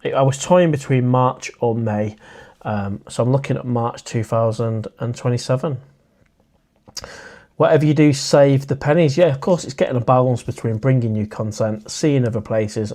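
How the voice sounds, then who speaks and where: A man's voice: mid-range voice, darkish tone. A man, hosting a casual live stream and chatting in a friendly way as he reads out viewer comments. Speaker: livestream host.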